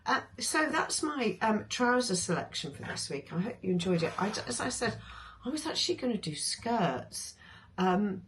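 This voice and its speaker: A woman with a hoarse voice, chatting casually about her favourite sewing patterns, with many ums and restarts. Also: mid-range voice, big pitch swings, moderate pace, fairly bright tone, a little hiss or hum, poor audio. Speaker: sewing vlogger.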